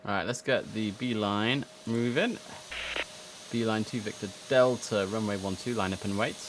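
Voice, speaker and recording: A man with a fairly low voice doing livestream game commentary. He drifts between casual chat to himself and clipped controller instructions, with clear game ambience and noise behind him. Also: moderate pace. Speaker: streamer playing an air traffic controller. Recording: clear background noise under the voice.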